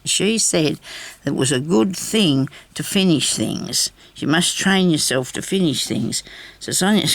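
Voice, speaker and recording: Older woman, deep raspy voice, gravelly fry, chatty craft vlog. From Australia. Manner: chatty, self-deprecating, laughs often. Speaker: craft vlogger. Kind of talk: rambling casual chat while crafting.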